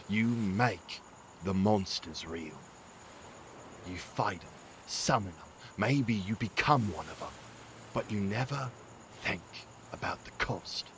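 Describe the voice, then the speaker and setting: A gruff, rueful father, played by a male actor, talks very low and slowly in an audio drama. He is weary and earnest, with clear night-time ambience behind him. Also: a bit bright, slight background noise. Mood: bitter. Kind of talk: audio drama acting, reflective monologue.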